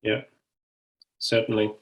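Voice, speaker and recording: A man hosting an online group discussion, speaking in a tentative, inviting way. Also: takes it slowly. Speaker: webinar host and facilitator. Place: online video call.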